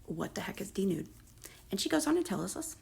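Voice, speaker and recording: A woman's voice: mid-range voice, sing-song, fast talker, darkish tone. A woman losing her voice, reading posts aloud in a casual gossip commentary with sarcastic, mocking asides. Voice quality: creaky, gravelly. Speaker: commentary creator.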